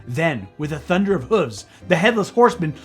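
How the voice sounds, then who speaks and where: A man's voice: fairly high pitch, very animated, brisk, faint music behind. A man reading a children's book aloud as theatrical narration, dramatic and playful.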